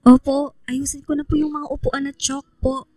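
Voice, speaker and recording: Shy, grateful teen schoolgirl played by a woman, high-pitched, hesitant and respectful, close dry radio drama sound. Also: level tone, moderate pace, no room sound, natural voice. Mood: shy, helpful. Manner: hesitant, polite, softly apologetic.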